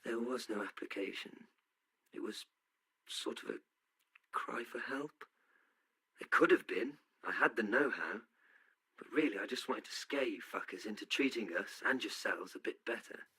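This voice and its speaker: A man's voice: on the low side, moderate pace, pauses often, bright, forceful tone, slightly rough, pitched above the performer's own voice, full character voice, low-quality recording. A man voicing an earnest, needy male droid in a comedy sci-fi audio play, speaking in an innocent, questioning way. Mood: sheepish. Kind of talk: comedy science fiction audio play dialogue.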